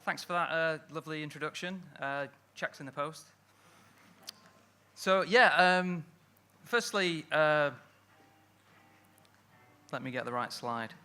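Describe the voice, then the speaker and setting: A man's voice: fairly high pitch, slow pace, long pauses, sharp tone, clear, resonant tone. A man from Manchester, giving a friendly, self-deprecating conference talk on stage, with many fillers. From Manchester, England. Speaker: lettering artist giving a talk. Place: stage at an evening event.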